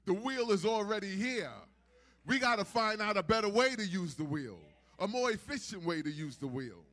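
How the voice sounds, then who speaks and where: A man's voice: sits high, expressive, normal speed, a bit bright. A man preaching a sermon in an emphatic, repetitive preaching cadence. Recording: long hall reverb.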